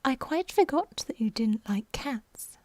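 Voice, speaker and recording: A woman's voice: a little high, expressive, unhurried, darkish tone, dry recording. A woman voicing a polite young girl from a storybook, eager and apologetic as she chatters.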